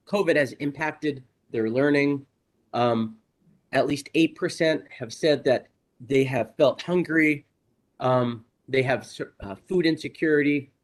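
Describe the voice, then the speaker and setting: A hoarse man, a college administrator, gives an earnest, measured presentation to a board over a video call. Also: on the high side. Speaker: college administrator giving a report. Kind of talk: formal presentation at a board meeting.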